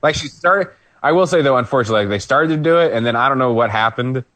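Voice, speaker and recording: A man's voice: mid-range voice, lively intonation, fast talker, a bit bright, dry recording. A man co-hosting a podcast, recapping a wrestling match in a casual, rambling play-by-play with asides. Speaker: podcast co-host. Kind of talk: casual wrestling review chat.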